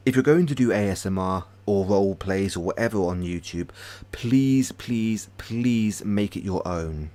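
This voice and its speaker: A British man hosting a casual livestream, chatting hesitantly with many pauses and restarts. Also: lowish voice, a little mellow. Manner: hesitant, lots of ums and restarts, friendly. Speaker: ASMR channel host.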